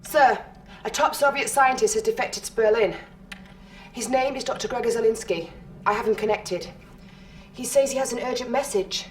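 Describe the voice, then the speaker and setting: A woman's voice: a little high, normal speed, pauses often, recorded from a distance, slight background noise, natural voice, low-quality recording. A woman acting as an earnest military intelligence officer, giving urgent briefings in a video game.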